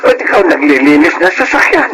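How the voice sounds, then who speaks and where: A man's voice: on the high side, very animated, a little fast, crowd noise. A man playing a fussy but kindly household driver in a Filipino radio drama, scolding yet protective. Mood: flustered, scolding. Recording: noisy radio drama track.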